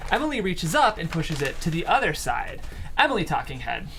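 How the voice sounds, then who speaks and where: Woman, stage direction reader, scripted narration, comedy table read, lively varied intonation. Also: fairly low pitch, fairly quick, a little hiss or hum.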